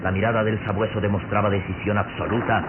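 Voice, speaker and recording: A man narrating a radio drama in a theatrical, suspenseful style with deliberate phrasing. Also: fairly low pitch, level tone. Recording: noisy, tinny old radio drama recording.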